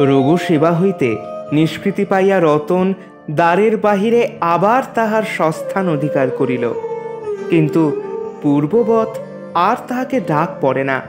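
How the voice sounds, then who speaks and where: A man narrating a literary story in a measured, expressive, formal reading. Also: high-pitched.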